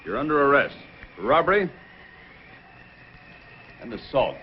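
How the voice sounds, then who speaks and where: An actor playing a firm, fair, heroic Mountie sergeant in an old-time radio adventure, speaking with decisive authority. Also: male voice, mid-range voice, sing-song, unhurried, a little hiss or hum. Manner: commanding, decisive. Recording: muffled vintage radio recording.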